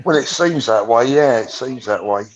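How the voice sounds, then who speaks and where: A man's voice: mid-range voice, expressive, moderate pace, muffled recording. A man chatting in a friendly, easygoing way as a guest on a call. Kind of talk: casual chat.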